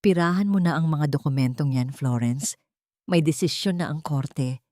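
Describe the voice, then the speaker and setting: A woman's voice: sits low, normal speed, dark tone, no room sound, studio quality, pitched below the performer's own voice, natural voice. A woman voicing a cold, cruel husband who gives commanding orders in a dramatic story. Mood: cold, menacing.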